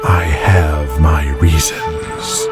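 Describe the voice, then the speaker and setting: Man acting a slow, low-voiced vengeful wrestling boss. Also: steady tone, over loud music, heavily acted. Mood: brooding. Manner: slow, deliberate, menacing. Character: vengeful, grandiose wrestling promoter, obsessed with his grudge. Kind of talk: dramatic audio drama acting.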